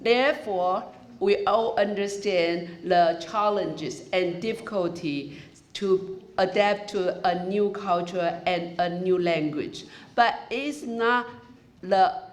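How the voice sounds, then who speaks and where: Slow, careful woman emcee with a Chinese accent. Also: fairly low pitch. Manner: slow, careful, halting phrasing. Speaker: master of ceremonies. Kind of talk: formal ceremony speech.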